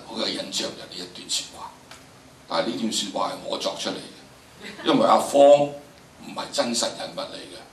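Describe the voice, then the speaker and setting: Older male teacher, Hong Kong Cantonese accent, forceful and emphatic lecture delivery, heard in an echoey hall. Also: mid-range voice, far from the mic, slight background noise. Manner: emphatic, drawn-out phrasing with frequent rhetorical questions. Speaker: teacher of history and drama. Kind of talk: animated lecture to students. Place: large indoor lecture theatre.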